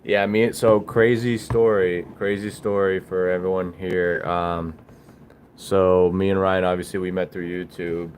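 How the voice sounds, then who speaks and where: A man hosting a casual livestream, talking in a halting, rambling, informal way as he answers viewers. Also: deep voice, level tone, slight background noise. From Bulgaria. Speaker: livestream host, small business owner.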